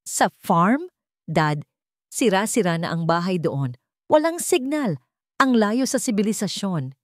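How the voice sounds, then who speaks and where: A woman's voice: mid-range voice, very animated, measured pace, no room sound. Young rich reluctant groom, female narrator playing him, bitter, dismissive, curt, dry close sound. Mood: alarmed, protesting.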